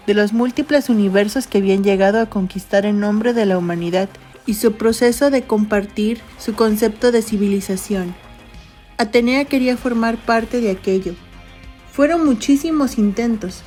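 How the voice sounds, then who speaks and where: A woman's voice: medium pitch, dark tone. Woman narrating a science fiction audio story. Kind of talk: scripted literary narration.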